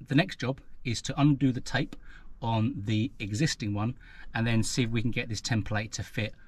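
A man's voice: medium pitch, fairly even intonation, normal speed, no room sound. A man, talking casually through a how-to job inside a parked car, chatty and self-deprecating as he thinks aloud. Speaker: vlogger. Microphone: action camera mounted in the car.

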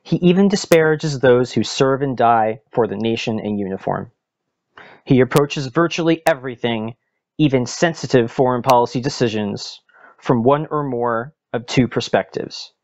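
A man's voice: mid-range voice, darkish tone. Emphatic American man reading dramatically, slightly muffled. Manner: emphatic, deliberate, with weighted pauses. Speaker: podcast host and political commentator. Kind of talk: dramatic reading of a political article. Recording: dull lo-fi sound with music behind.

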